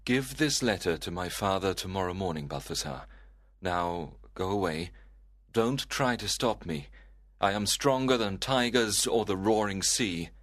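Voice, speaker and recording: Man playing desperate young Romeo, animated delivery. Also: low-pitched, a little slow. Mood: grave, commanding. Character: Romeo, desperate grief-stricken young male lover. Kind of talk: dramatised graded-reader audiobook.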